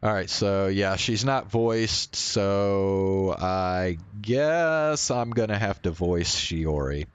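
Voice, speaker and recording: A man's voice: low-pitched, expressive, slow, few pauses, clean tone, no echo. A man hosting a game stream, chatting casually and reading visual novel narration aloud. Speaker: streamer, host. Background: faint game music.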